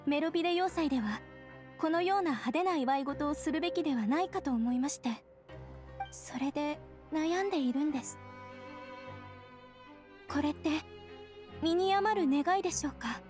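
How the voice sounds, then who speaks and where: A woman playing a gentle young bride-to-be in a game, speaking politely and deferentially. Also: sits high, normal speed, pauses often, soft background music, close to an everyday voice. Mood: gentle, hesitant. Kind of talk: game dialogue.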